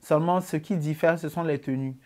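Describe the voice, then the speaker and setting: A man in his twenties with an Ivorian accent, answering interview questions about his comedy in a relaxed way, with many fillers. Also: fairly high pitch, fairly quick. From Ivory Coast. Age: 20s.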